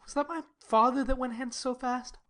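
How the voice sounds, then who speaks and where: A woman's voice: high voice, fairly even intonation, moderate pace, fairly bright tone. A woman playing a lovesick, brooding young nobleman in a dramatic Shakespearean reading, with a sighing, melodramatic delivery. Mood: melancholy, sighing.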